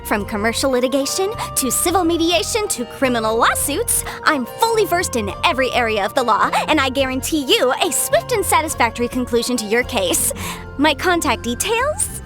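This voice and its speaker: A woman's voice: sits high, sing-song, normal speed, over music. A woman voicing a confident, chatty young female legal adviser in an anime-style video game, articulate, theatrical and self-assured. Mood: bubbly.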